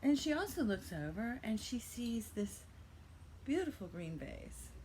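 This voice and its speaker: A woman's voice: a little high, very animated, unhurried, darkish tone, a little hiss or hum, rough recording. An older woman telling a playful fairy-tale style story with theatrical narration and chatty asides. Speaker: vlogger telling a reselling story.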